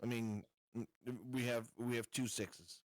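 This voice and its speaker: A man's voice: mid-range voice, flat delivery, normal speed, dry recording. A man chatting casually as a player in a tabletop game.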